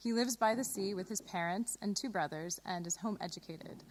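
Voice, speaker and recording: A woman's voice: mid-range voice, moderate pace, poor audio. A woman hosting a live broadcast, introducing radio plays. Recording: clear background noise and ambience.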